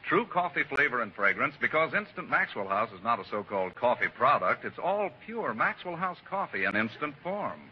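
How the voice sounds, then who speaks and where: Animated 1940s radio announcer, a man. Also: mid-range voice, fairly quick, few pauses. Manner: polished, emphatic salesmanship. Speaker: show announcer. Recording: muffled, hissy old radio recording.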